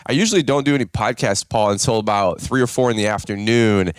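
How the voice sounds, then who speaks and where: A man hosting a casual podcast, with a raspy morning voice, upbeat and talkative with lots of fillers and restarts. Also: on the low side, expressive, quick pace, no room sound, studio quality. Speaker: podcast host.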